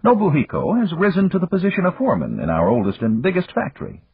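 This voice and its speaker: A man voicing an older Japanese industrialist who is round, calm and philosophical, speaking in a measured, courteous, gently lecturing way. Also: on the high side, slightly rough, voice pushed up, heavily acted. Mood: measured, amiable. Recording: tinny, phone-like lo-fi.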